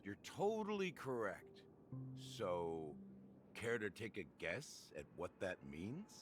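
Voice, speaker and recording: An actor voicing a suave, sly mastermind in a video game, telling a history in measured, theatrical phrases with coy questions. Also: male voice, lowish voice, sing-song, over music. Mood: knowing. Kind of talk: dramatic video game dialogue.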